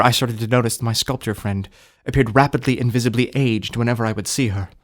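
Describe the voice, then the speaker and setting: A man playing a composed, literate art collector who narrates a radio horror drama in a formal, measured manner. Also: medium pitch, close to an everyday voice.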